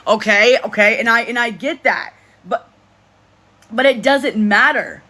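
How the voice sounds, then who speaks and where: Forceful, emphatic vlogger in their thirties. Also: measured pace. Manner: emphatic, repeats and restarts phrases; speaks in a self-described deep voice. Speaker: vlogger speaking to supporters. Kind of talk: casual monologue to camera.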